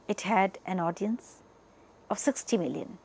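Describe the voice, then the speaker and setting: A woman's voice: mid-range voice, unhurried, vocal fry, a little mellow, husky, rough recording. A woman with an Indian accent giving an explanatory lecture, addressing listeners directly with emphatic phrasing. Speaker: media educator presenting a lesson. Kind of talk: lecture-style explanatory narration.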